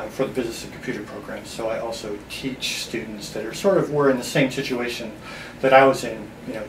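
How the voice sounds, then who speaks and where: A man with a mid-range voice answers as an interview guest, a college department chair. He talks informally, a little away from the mic, in a roomy space with echo. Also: mid-range voice. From upstate New York. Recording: roomy echo, distant mic, background noise.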